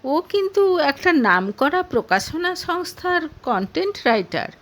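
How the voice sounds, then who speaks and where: A woman's voice: sits high, very animated, unhurried, a bit bright, pitched above the performer's own voice, heavily acted. A woman voicing a meek, placating young man in an audio story, defensive in manner. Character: meek, placating young man under his mother's thumb.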